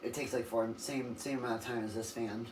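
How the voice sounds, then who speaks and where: Raspy man rambling on a distant mic. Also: mid-range voice, fairly even intonation, fairly quick, noisy background. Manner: rambling, self-correcting, loses his train of thought. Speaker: ceiling fan collector demonstrating a fan. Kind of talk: casual off-the-cuff video narration.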